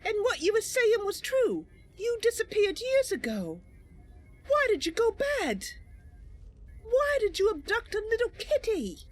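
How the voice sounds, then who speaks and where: Tiny, squeaky, plucky elf girl. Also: huge pitch swings, unhurried, heavily acted, low-quality recording. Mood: earnest, sad. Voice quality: small, girlish. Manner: innocent, emotive. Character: tiny plucky young elf girl, eager, earnest would-be knight.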